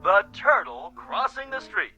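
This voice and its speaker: High-pitched manic TV showman. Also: very animated, highs cut off, over loud music. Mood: gleeful showman. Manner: theatrical, cackling, drawn-out. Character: manic, ghoulish television host conjured up, gleefully cruel showman. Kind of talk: exaggerated TV announcer and horror-host patter.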